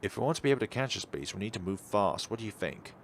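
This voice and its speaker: Man as an eager, rule-bending teenager, lively pitch. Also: fast talker, vocal fry, a little hiss or hum.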